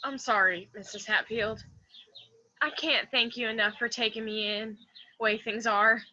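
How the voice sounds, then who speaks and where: A woman's voice: a little high, steady tone, moderate pace, sharp tone, lo-fi sound, a little hiss or hum. A woman playing an anxious young runaway daughter with a Southern US accent in a radio drama. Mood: timid, apologetic.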